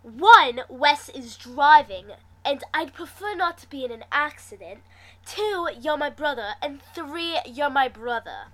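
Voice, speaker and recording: A woman's voice: high-pitched, lively intonation, measured pace, fairly bright tone, voice pushed up, low-quality recording. A woman voicing a playful, sarcastic young sister in a story, sing-song and mock-threatening. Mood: firm, teasing. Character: playful, teasing twenty-five-year-old woman, sarcastic sister.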